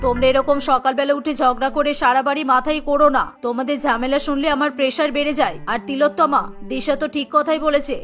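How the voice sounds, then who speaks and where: Woman as older mother-in-law, cartoon, stern, exasperated, reproving, lowered pitch, background music. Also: fairly bright tone.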